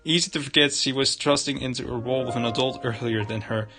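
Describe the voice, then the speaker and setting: Male streamer, medium pitch, reading game narration aloud in a stumbling way, very loud music underneath. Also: steady tone, fast talker. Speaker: livestreamer.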